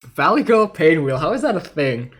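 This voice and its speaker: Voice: mid-range voice, big pitch swings, normal speed, breathy edge. A game streamer chatting casually and reacting to voice clips in an amused, informal way. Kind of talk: casual livestream reaction chat.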